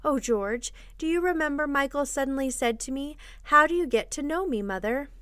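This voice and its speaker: A woman's voice: sits high, lively intonation, normal speed, a bit bright, no room sound, clean studio sound, voice pushed up. A woman voicing a placid, loving mother in a storybook, in a soothing, tender manner. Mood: wistful.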